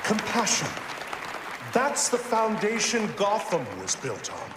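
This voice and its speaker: A proud corporate boss speechifying in a video game. He is slow and hugely animated, echoing from afar over crowd and music. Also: high-pitched, recorded from a distance, heavy background noise, full character voice. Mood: proud, grateful.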